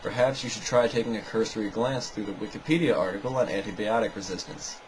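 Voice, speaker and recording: A man's voice: lowish voice, quick pace, low-quality recording. A man giving a scripted, argumentative rebuttal commentary in a sarcastic, dismissive way. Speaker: video narrator rebutting another video.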